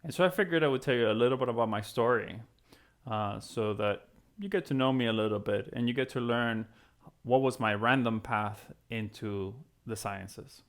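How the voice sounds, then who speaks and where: Guy in his 30s, creaky mid-pitched voice, explaining stuff to students in an encouraging chatty way. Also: moderate pace. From Venezuela (Caracas). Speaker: physics professor and program co-founder. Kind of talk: explanatory talk to students, conversational.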